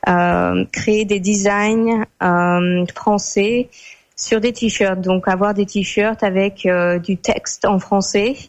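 A young woman from France chats as a guest in an easy, unhurried way. She pauses often and fills the gaps while she thinks, and her voice sits at a middle pitch. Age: young adult. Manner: relaxed, many hesitations. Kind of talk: casual conversation.

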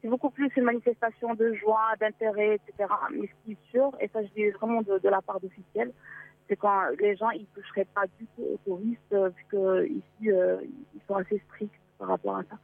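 A woman's voice: medium pitch, flat delivery, fairly quick, vocal fry, no room sound. Woman calling in over a phone line. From Morocco. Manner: hesitant, many filler sounds, restarts. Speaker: caller, travel blogger. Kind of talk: phone interview on a radio show. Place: inside a parked car. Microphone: mobile phone.

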